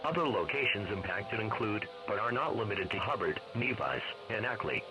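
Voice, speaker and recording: A man's voice: on the low side, lively intonation, normal speed, over music, low-quality recording. A man's voice, like text-to-speech, reading a scripted emergency weather bulletin over radio with sound behind it. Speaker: weather radio warning announcer.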